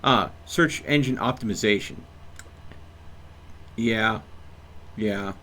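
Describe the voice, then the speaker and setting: A man's voice: fairly low pitch, slow, pushed and bright. A man livestreaming a game with casual commentary, rambling and thinking aloud as he plays. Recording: faint noise behind voice.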